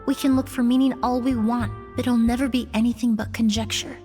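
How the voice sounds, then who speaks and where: A woman playing a composed, thoughtful perfumer in a video game, speaking in a measured, articulate and polite way. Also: a little high, steady tone, warm tone, soft background music, natural voice. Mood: thoughtful, somber.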